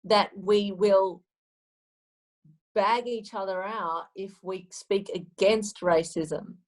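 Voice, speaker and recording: A woman's voice: medium pitch, steady tone, slow, low-quality recording. A woman with an Australian accent, a guest speaking emphatically in a casual conversation and repeating phrases for stress.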